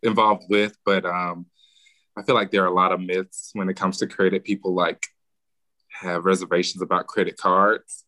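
Very deep, bright-toned man. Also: normal speed, dry recording. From Birmingham, Alabama. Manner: relaxed, explanatory advice giving. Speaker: guest, real estate agent. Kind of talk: casual podcast interview.

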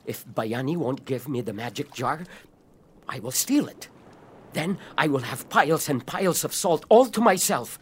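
Casting: a man to play an impatient, greedy older brother for a kids' audio drama, demanding and highly expressive. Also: normal speed, a little hiss or hum, close to an everyday voice. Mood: scheming. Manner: demanding, blustering. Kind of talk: children's audio drama acting.

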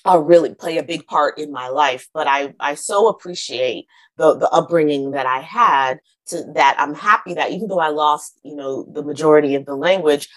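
A woman's voice: low-pitched, moderate pace, few pauses. A woman author from the United States, answering interview questions in a chatty way with many fillers and restarts. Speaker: author guest.

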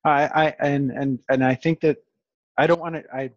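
A man's voice: fairly high pitch, fairly even intonation, normal speed, dry recording. A man hosting a podcast in casual conversation, stuttering and restarting his phrases often. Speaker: podcast host. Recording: dull, dry lo-fi sound.

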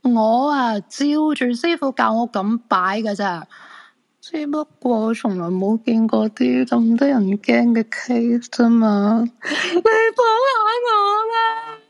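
An actress with a Hong Kong Cantonese accent playing an excitable, insistent crystal fortune-teller in a radio drama. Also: female voice, fairly high pitch, takes it slowly, creaky, exaggerated voice. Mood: indignant pleading.

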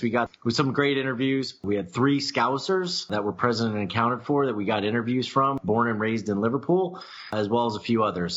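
A man recaps a fun outing as podcast host, chatty and quick to laugh. The audio is muffled and lo-fi. His pitch is average. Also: a bit bright. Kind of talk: casual podcast chat. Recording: dull lo-fi audio.

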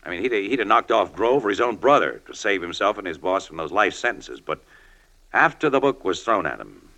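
Low-voiced, hard-edged American newspaperman. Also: big pitch swings, brisk, pushed and bright, exaggerated voice. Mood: confiding, intent. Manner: slangy, cool, needling. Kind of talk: 1940s radio drama acting.

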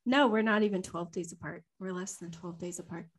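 A woman's voice: fairly low pitch, fairly quick. A young woman co-hosting a podcast, telling a story from memory in a rambling, chatty way with plenty of ums and laughs. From Louisiana. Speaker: podcast co-host. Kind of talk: casual chat, unscripted storytelling.

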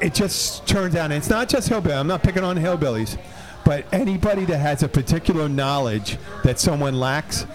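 A man's voice: a little high, darkish tone. A man hosting a casual podcast, rambling and laughing often, trailing off mid-sentence. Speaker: podcast host. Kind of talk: casual banter.

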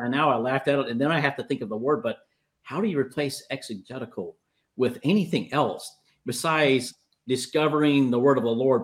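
A man in his fifties with a speech impediment, preaching and praying spontaneously in a halting, repetitive way on a livestream. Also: mid-range voice. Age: 50s. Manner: halting, repeats and stumbles over words. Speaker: preacher leading prayer. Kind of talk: spontaneous charismatic prayer and exhortation. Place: online livestream call.

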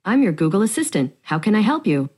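A woman's voice: medium pitch, very animated, fast talker, darkish tone. A synthetic woman's voice playing a cheerful voice assistant from a device across the table. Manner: smooth, chipper synthetic speech. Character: female voice assistant on a phone, cheerful. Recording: played from a device across the room.

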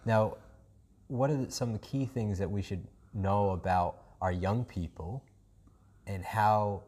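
A man's voice: on the low side, a little slow, darkish tone. A man with a New Zealand accent hosting a casual podcast chat, enthusiastic and rambling, with many ums and restarts. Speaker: podcast host.

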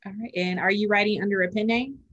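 A woman's voice: medium pitch, fairly even intonation, fast talker, fairly bright tone, highs cut off. A woman hosting an interview show, upbeat and encouraging toward her guest. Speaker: podcast host.

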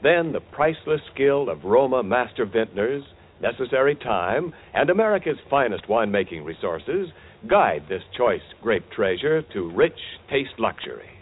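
A man announcing and selling as a 1940s radio sponsor pitchman, with polished, emphatic delivery. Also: sing-song, normal speed, slightly rough. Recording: tinny, hissy vintage radio broadcast.

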